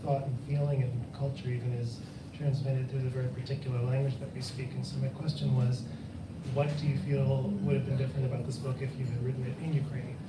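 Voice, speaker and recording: A man's voice: mid-range voice, flat delivery, fast. Man from far off in an echoey room. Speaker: audience member asking a question. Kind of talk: casual question at a book event. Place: bookstore event room. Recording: echoey room, distant mic, noisy.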